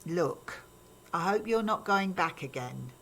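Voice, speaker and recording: A disapproving grandmother in an audio drama, older and set in her ways. Her chiding, gossipy talk swings widely in pitch, over a faint noisy background. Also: animated, takes it slowly, a bit bright, exaggerated voice. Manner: chiding, matter-of-fact, gossipy. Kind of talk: naturalistic radio drama acting.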